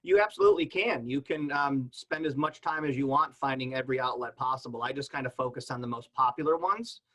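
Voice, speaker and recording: A man's voice: medium pitch, brisk, sharp tone. A man on a panel explaining things in a casual, conversational, plain-spoken way. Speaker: podcast panelist.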